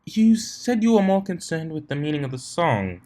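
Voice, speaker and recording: Storybook fashionista unicorn lady, voiced by a man: lifted pitch, fussy, theatrical, argumentative. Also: big pitch swings, moderate pace, dark tone. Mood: probing. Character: refined, fussy female unicorn pony fashionista.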